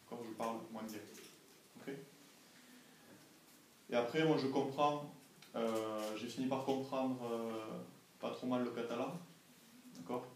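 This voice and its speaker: A young man answers questions in an informal classroom interview. He speaks at a medium pitch in a careful, didactic way, checking often that he is followed. The room is echoey, with faint noise behind him. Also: fairly even intonation, measured pace, pauses often, a little mellow, far from the mic. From France. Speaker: language assistant being interviewed by students.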